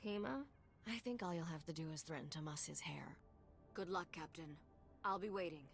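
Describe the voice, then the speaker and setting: Capable game sidekick, slightly low register, dry and businesslike, music behind. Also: female voice, normal speed, vocal fry. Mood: dryly teasing. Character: competent, dry, loyal female accomplice of a smuggler. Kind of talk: video game voice acting.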